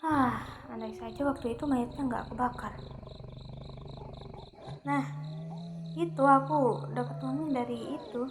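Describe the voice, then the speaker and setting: Girl doing an aloof young vampire lady, indonesian accent, kinda high voice, calm and a little teasing, crickets and hiss behind. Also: measured pace, long pauses. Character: aloof young female vampire, half fox, protective. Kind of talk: ASMR roleplay.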